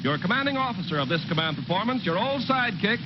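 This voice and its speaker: A male announcer introduces a vintage radio show in a grand, high-pitched and very animated delivery. The muffled 1940s recording has clear noise. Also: fast talker.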